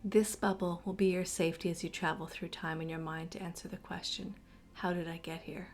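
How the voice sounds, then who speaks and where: A woman leads a guided meditation in a fairly low voice with a strong creak. Her delivery is slow, breathy and soothing, often sinking toward a whisper. Some ambient noise sits behind her. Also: fairly even intonation.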